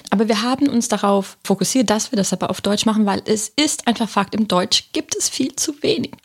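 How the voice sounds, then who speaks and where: A woman's voice: medium pitch, a little fast, breathy edge. A woman with a Palatinate German accent, co-hosting a casual podcast about the Bible and thinking aloud with fillers and restarts. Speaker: podcast co-host.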